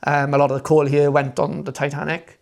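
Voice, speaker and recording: Enthusiastic Welsh man with a gravelly edge. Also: a little high, completely flat delivery, brisk. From Wales. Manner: rambling and enthusiastic, many fillers and restarts. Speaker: podcast guest, motoring content creator. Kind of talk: casual interview chat.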